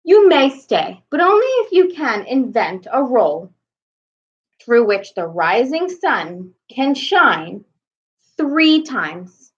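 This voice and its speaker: Storybook Duke, female reader: roaring, imperious, demanding, slow and emphatic, on a slightly dull recording. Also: a little high, very animated. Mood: stern, demanding. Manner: roaring, commanding.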